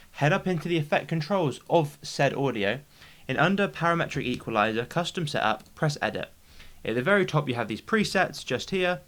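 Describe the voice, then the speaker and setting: A man with a medium-pitched voice presents a software tutorial. He speaks casually and instructively, step by step. He often tags his points with brief questions to keep the listener following. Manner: instructional, checks in with short tag questions. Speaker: video editing tutorial host.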